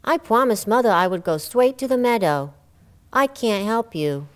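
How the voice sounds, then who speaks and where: A woman voicing a young male rabbit in a high, childlike voice. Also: expressive, moderate pace, close to an everyday voice. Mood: polite. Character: young male rabbit, obedient then desperate.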